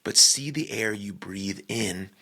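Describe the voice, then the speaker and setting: A man with a low, creaky voice leads a guided meditation at a very slow pace. He speaks in short, calm phrases with deliberate pauses between them. The delivery is husky and breathy. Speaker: podcaster leading guided meditation.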